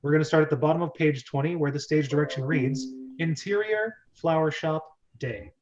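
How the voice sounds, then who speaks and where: A man's voice: a little high, fairly quick, darkish tone, lo-fi sound, a little hiss or hum. A man hosting and narrating a script read-through, chatty and jokey with a theatrical delivery.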